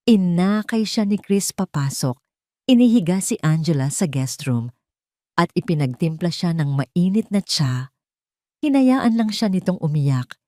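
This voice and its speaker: Filipino woman narrating drama, low dry voice. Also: big pitch swings, measured pace, mellow, no room sound. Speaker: audio story narrator.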